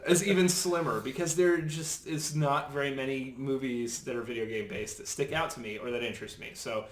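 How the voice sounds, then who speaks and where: A man's voice: mid-range voice, steady tone, a little fast, slight background noise. A man talking casually about movies as a panelist on a podcast. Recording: roomy, echoey sound.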